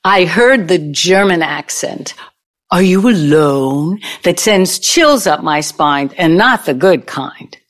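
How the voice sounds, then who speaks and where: A woman's voice: on the low side, lively intonation. A woman in an audio play acting a vengeful, older ex-girlfriend who needles and tells her story theatrically. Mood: dramatic storytelling. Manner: deliberate, taunting, storytelling delivery.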